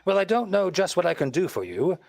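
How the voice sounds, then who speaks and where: An actor playing a suave, wisecracking married detective, breezy and teasing, in a 1930s radio style. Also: male voice, high voice, big pitch swings, brisk, creaky, a little mellow, no room sound, exaggerated voice. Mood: breezy, affable.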